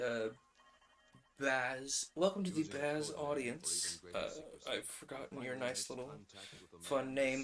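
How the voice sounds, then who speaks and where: Man, bright clear-edged tone, mid pitch, casual streamer chat, chatty, thinking aloud. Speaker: streamer and host. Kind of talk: casual livestream chat while crafting.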